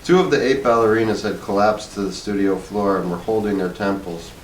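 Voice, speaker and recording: Low-voiced man reading in an echoey room. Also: a little fast. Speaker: story reader. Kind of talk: expressive short story narration, read aloud. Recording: echoey room, distant mic, faint noise.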